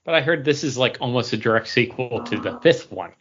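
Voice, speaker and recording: A man's voice: mid-range voice, expressive, a little fast, fairly bright tone, dull audio, slight background noise. A man co-hosting a podcast, chatting casually about pop culture. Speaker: podcast co-host.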